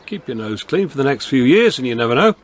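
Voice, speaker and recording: An actor playing a kindly, shrewd older police constable in a radio drama, homely and plain-spoken. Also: male voice, mid-range voice, big pitch swings, quick pace, natural voice. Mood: firm, wry. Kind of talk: radio drama acting with some narration.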